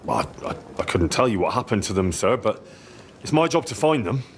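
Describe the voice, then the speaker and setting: An earnest young smith's helper in a medieval video game. He is polite and plain-spoken with a cheeky streak, his pitch moving freely, over faint background ambience. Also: big pitch swings, brisk, a little hiss or hum. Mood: earnest, hesitant. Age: young adult. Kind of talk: video game dialogue acting.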